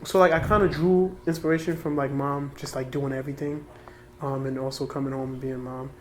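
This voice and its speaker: A man's voice: medium pitch, level tone, fairly quick, darkish tone, a little hiss or hum. An eighteen-year-old man from New York City, a youth organizer talking casually about himself in an interview. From New York City, United States.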